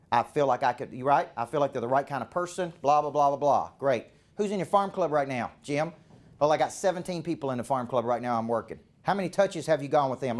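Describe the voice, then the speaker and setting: A fairly high-voiced man with a Southern American accent coaches a live event crowd. He talks in short, punchy bursts and keeps checking in with the room. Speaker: business coach. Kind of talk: live seminar talk to a room.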